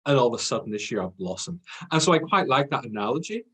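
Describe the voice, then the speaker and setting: A man's voice: medium pitch, very animated, fast talker, fairly bright tone, slightly rough. A man with an Irish accent, a guest on a podcast interview, talking in a chatty, self-deprecating way and laughing easily. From Ireland. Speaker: guest, sales trainer.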